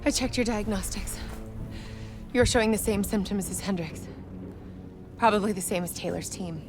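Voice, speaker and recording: An actress playing a grave, caring intelligence agent with quiet urgency in a video game cutscene. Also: female voice, medium pitch, normal speed, lightly acted. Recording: music under the voice.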